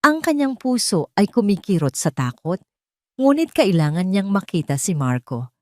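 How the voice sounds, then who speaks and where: A woman's voice: medium pitch, sing-song, normal speed, dark tone, no room sound, clean studio sound. A woman reading a scripted dramatic story as its narrator.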